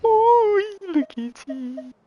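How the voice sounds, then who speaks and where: A man's voice: extremely high pitch, sing-song, drawn-out words, lo-fi sound. A man streaming a game live, chatting casually with slangy, profane, playful reactions. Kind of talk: casual livestream chat over gameplay.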